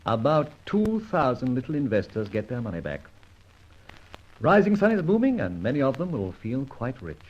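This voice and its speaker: An urbane gentleman rogue in an old radio detective play. His delivery swoops up and down, wry and theatrical, with whimsical, mock-formal phrasing. The vintage recording is dull. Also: mid-range voice, huge pitch swings, normal speed. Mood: wry, matter-of-fact. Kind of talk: old-time radio drama acting and first-person narration.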